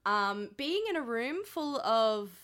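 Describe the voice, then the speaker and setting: A woman's voice: fairly high pitch, big pitch swings, slow, a bit bright, smooth. An Australian woman co-hosting a casual comedy podcast, playful, self-mocking and sweary, sounding a little congested with a sore throat. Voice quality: slightly stuffy, congested. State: sore throat from a cold night out. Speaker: podcast co-host.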